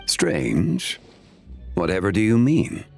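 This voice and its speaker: Wise game gentleman: deep creaky voice, slow formal phrasing, serene and philosophical, music underneath. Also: huge pitch swings, heavily acted. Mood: mild, evasive. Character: calm, wise, dignified gentleman speaking in aphorisms. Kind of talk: video game voice acting.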